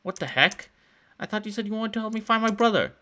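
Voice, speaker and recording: A man voicing a young woman who is determined and quick to snap in exasperation. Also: high-pitched, huge pitch swings, breathy edge, voice pushed up, full character voice. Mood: exasperated. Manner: sharp, exasperated, insistent.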